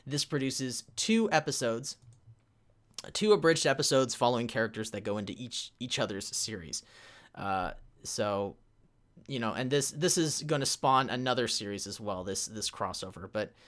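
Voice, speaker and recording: A man's voice: medium pitch, animated, moderate pace, a bit bright. A man presenting a convention panel in an informal way, recounting a history with hesitant starts and emphatic bursts.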